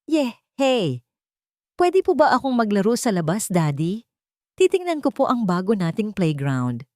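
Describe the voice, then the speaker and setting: A woman's voice: medium pitch, sing-song, a little slow, a little mellow, dry recording, clean studio sound, pitched above the performer's own voice. A woman voicing a curious, eager young boy in a spoken storybook.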